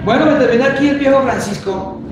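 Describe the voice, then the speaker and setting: A man's voice: extremely high pitch, fast talker, music in the background. A man in his thirties with a Colombian accent, hosting a casual live-stream chat in an informal, emphatic way. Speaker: host. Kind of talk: casual live-stream talk, announcing a championship. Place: small motorbike workshop, indoors. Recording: echoey room with clear background noise.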